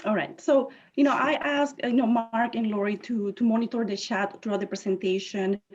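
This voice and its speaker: A woman's voice: on the high side, steady tone, brisk, darkish tone. Woman, 30s, Puerto Rican accent, native Spanish speaker, presentation over video call, filler-heavy delivery, dull lo-fi audio. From Puerto Rico. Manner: conversational, leans on filler phrases, self-correcting. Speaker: guest speaker, chemist and inventor. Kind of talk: virtual presentation talk.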